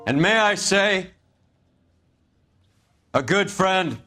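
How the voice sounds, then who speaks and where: A man's voice: high-pitched, expressive, extremely slow, bright, forceful tone, faint music behind, exaggerated voice. A man playing a solemn eulogist in a game drama, speaking in a formal, grandiose, oratorical way. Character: solemn, smooth-talking man delivering a funeral eulogy.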